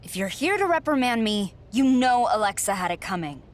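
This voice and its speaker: A woman's voice: a little high, big pitch swings, brisk. A woman playing an arrogant, sneering wrestling champion in a game, with a smug, sarcastic and cutting delivery. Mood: defiant. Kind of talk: scripted sports-entertainment promo.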